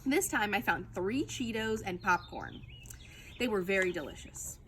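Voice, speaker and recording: A woman reading a children's book aloud as a storytime reader, in an expressive, emphatic storytelling manner. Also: medium pitch, a little slow, slight background noise.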